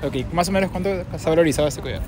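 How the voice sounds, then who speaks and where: A man's voice: fairly high pitch, very fast, darkish tone. A young man hosting a casual street interview outdoors. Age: young adult. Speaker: street prank host, interviewer. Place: outdoors in public city spaces.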